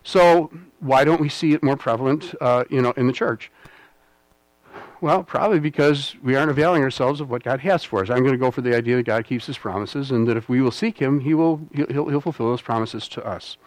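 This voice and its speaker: A man's voice: mid-range voice, a little fast, no echo, low-quality recording. American guy preaching, loose and chatty, keeps saying all right?, dry close sound. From United States. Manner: rambling, with frequent "all right?" check-ins; self-deprecating humor. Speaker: pastor. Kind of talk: conversational sermon.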